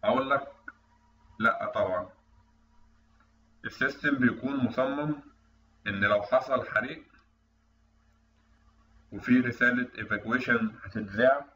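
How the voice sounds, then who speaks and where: A man's voice: medium pitch, measured pace, long pauses, bright, forceful tone. A man with an Egyptian accent explaining technical material step by step as an online instructor. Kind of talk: tutorial explanation over screen recording. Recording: roomy, distant mic, dull, faint noise.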